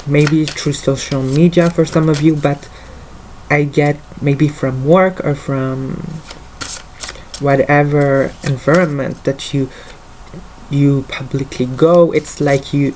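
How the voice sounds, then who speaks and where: A man's voice: medium pitch, little pitch movement, slow pace, a little mellow, a little hiss or hum, poor audio. A man with a French accent, a native French speaker, giving a casual tarot card reading in English, talking hesitantly with many fillers. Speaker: tarot reader.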